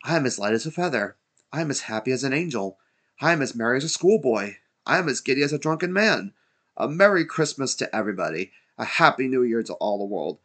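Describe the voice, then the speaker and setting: A man's voice: fairly low pitch, very animated, fairly bright tone. A man voicing an overjoyed, giddy old reformed miser in a storybook reading, in breathless, repeated exclamations. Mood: giddy joy. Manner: breathless exclamations, rapid gleeful repetition.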